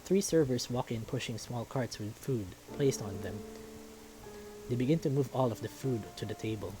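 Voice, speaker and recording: A man's voice: medium pitch, moderate pace, rough recording. A man reading scripted first-person story narration from a visual novel. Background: music.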